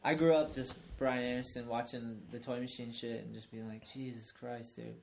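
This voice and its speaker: A man's voice: medium pitch, flat delivery, moderate pace, gravelly, a little mellow, slight background noise. Enthusiastic cursing skater guy. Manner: enthusiastic, swears freely. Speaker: professional skateboarder. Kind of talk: casual chat.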